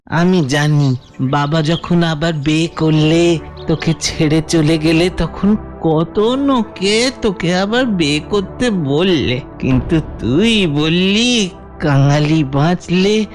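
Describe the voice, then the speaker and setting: A woman's voice: low voice, slow, a little mellow. A woman voicing a poor, devoted village boy in a cartoon, anxious, questioning and insistent, with a rural Bengali accent. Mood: plaintive, pleading. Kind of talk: melodramatic cartoon voice acting. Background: music.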